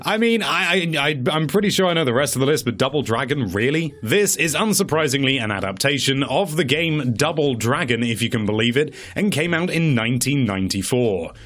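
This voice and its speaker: A man with a very lively, swinging pitch delivers casual commentary as a video presenter. He sounds chatty and wry, with a tongue-in-cheek edge to his remarks. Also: brisk, hardly any pauses.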